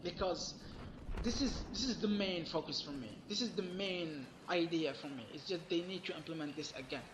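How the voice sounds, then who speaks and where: A man giving an emphatic, complaining gaming commentary while losing his voice, sounding hoarse. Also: a little high, moderate pace, reverberant, noisy background. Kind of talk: solo gaming rant.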